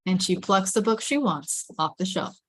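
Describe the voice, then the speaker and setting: A woman narrates stage directions from a drama script at a table read. Her voice sits at a middle pitch, and the recording is close and very dry. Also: lively intonation, moderate pace, breathy edge, no echo.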